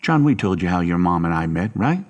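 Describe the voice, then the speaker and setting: A man's voice: low voice, sing-song, a little fast. An actor playing a menacing, controlling middle-aged father in an audio horror drama, with a folksy, coaxing, domineering manner. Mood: wistful, haunted.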